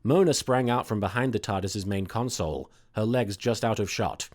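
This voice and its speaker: A man's voice: on the low side, moderate pace, darkish tone. A man hosting and narrating a scripted comic story, with some casual introductory talk. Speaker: host and fan fiction reader.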